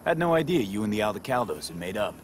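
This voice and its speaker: A loyal, streetwise mercenary from a video game. He talks in short, casual lines, in a lowish voice with lively swings in pitch. A faint noise sits behind him. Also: quick pace. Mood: cool, loyal. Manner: terse, casual.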